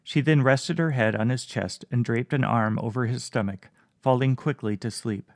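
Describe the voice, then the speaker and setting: Calm, measured male audiobook narrator, gravelly voice, dry close sound. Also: medium pitch, no room sound.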